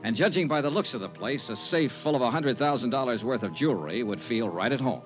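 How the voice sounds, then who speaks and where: A man's voice: mid-range voice, lively intonation, a little fast, over music, close to an everyday voice. An American man acting in an old radio drama as a shrewd, unflappable insurance investigator, with a clipped, wry, businesslike delivery. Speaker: radio actor playing lead detective. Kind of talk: 1950s radio detective drama acting, first-person narration. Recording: muffled, noisy 1950s radio recording.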